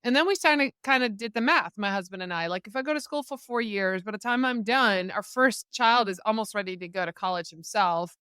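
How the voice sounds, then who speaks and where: Chatty Dutch-born woman guest, smooth voice. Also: medium pitch, brisk, few pauses, no room sound, clean studio sound. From Netherlands. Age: 50s. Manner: chatty, animated storyteller. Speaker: podcast guest. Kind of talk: casual interview storytelling.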